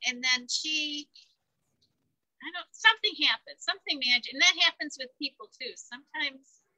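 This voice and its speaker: A woman's voice: high-pitched, moderate pace, pushed and bright, rough recording. A woman talking through a children's book, thinking aloud and searching for words as she reflects. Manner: thoughtful, searching for words, restarts. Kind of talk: casual chat about a picture book.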